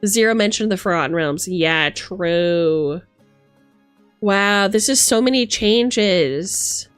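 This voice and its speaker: Animated woman streamer with very lively pitch. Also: slow pace, smooth, dry recording. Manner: animated, theatrical, reacting to chat as she reads. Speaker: streamer host. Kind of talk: casual livestream chat with reading aloud from a rulebook. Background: music.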